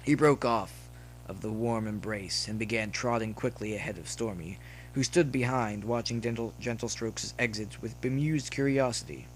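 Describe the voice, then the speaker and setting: A man's voice: medium pitch, moderate pace. Man narrating a story, noisy recording. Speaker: narrator reading fan fiction. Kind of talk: expressive scripted story narration.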